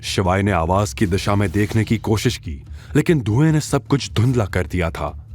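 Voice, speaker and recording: A man with a low voice narrates a dramatic audio story. His delivery rises and falls in a lively, scripted storytelling style, with music playing underneath. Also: moderate pace.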